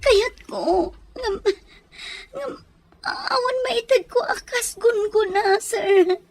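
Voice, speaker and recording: A woman's voice: very high voice, slow pace, a little hiss or hum, exaggerated voice. A woman playing a frightened, meek young captive in an audio drama, pleading deferentially with a Filipino accent. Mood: anxious. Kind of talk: Ilocano audio drama acting.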